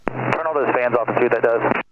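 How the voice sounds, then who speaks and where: A man's voice: medium pitch, brisk. An American man piloting a small plane, giving practical instructions and radio calls through an aviation headset. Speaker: pilot in a formation flight. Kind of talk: cockpit talk and radio calls. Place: inside a small plane cockpit. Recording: dull radio audio, loud engine noise.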